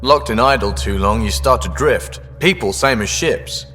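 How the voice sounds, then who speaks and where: A man's voice: mid-range voice, moderate pace, bright, forceful tone, big-room sound, soft background music, lightly acted. An actor voicing a cocky, wisecracking, restless ship's crewman in a video game, informal and sardonic. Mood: candid, restless. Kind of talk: video game dialogue acting.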